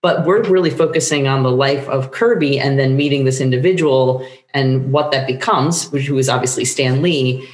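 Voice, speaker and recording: A middle-aged woman talking casually as an interview guest, animated, with meandering, halting phrasing. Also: a bass voice. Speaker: guest playwright. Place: book-lined room at home, indoors. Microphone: shared desktop microphone on video call. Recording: roomy home recording.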